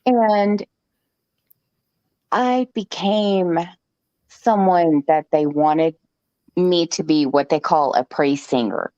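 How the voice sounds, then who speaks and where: A woman's voice: on the low side, takes it slowly, long pauses, warm tone, dry recording. A woman hosting a live stream, telling a personal story in a chatty, joking way, with frequent "okay" asides. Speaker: host. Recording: dull lo-fi stream audio.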